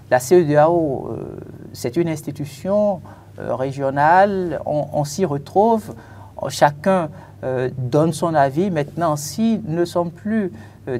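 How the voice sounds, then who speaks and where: A panelist on a televised political debate speaks in a voice of middle pitch with a dark, mellow tone. The delivery is hesitant and searching, full of pauses and restarts. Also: a little hiss or hum. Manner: hesitant, many fillers and restarts. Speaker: panelist on a political talk show.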